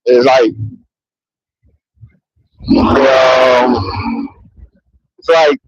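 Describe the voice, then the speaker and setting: A man's voice: medium pitch, fairly even intonation, very slow, slightly rough, dull audio. A man talking into a handheld mic outdoors in public, in a loose, rambling and profane monologue that keeps circling back with "you know what I mean.". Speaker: vlogger. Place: outdoors in a public area near trains.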